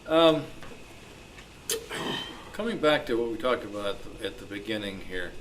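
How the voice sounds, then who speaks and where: A man moderating a club panel discussion, speaking in a halting, deliberate way without a script. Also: lowish voice, animated. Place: club meeting room. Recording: noisy live meeting room recording.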